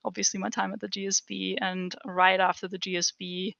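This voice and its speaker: A woman from Austria with a bright, gravelly voice chats about her career on a video panel. The call audio is dry and slightly dull. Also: medium pitch, flat delivery, brisk, no room sound. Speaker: alumni panelist.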